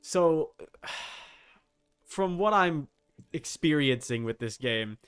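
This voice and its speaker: A man's voice: a little high, animated, drawn-out words, a bit bright, clear, resonant tone, soft background music. A man giving casual livestream commentary on a game, thinking aloud in slangy language with some swearing. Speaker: livestream host.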